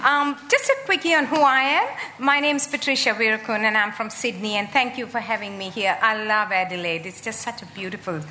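A woman in her sixties from Sri Lanka, giving a humorous, animated public talk as a guest speaker. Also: on the high side, a little fast, a little hiss or hum. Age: 60s. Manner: lively, animated, joking.

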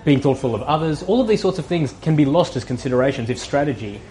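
Relaxed Australian man giving a talk. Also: fairly high pitch, fast talker. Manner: relaxed, many ums, lists points with rising emphasis. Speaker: speaker. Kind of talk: morning talk to professionals.

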